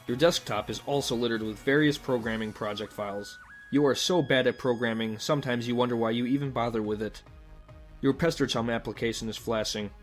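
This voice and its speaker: Casting: male narrator for a comic adventure, mock-formal and wry, medium pitch, music bed underneath. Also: fairly quick. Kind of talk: scripted comic narration in second person.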